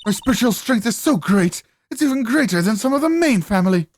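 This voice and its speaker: A woman voicing a proud, severe village matriarch in a video game, speaking in a formal, theatrical, deliberate way. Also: on the high side, animated, a little mellow, slight background noise, lightly acted. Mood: proud, fervent. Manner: haughty, dramatic, deliberate. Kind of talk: amateur video game voice-over.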